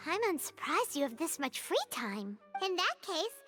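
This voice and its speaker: A tiny fairy sidekick from a video game, cheeky and excitable. The childlike squeak sits extremely high and leaps all over the place, with music playing prominently behind. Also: female voice, normal speed. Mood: curious, teasing.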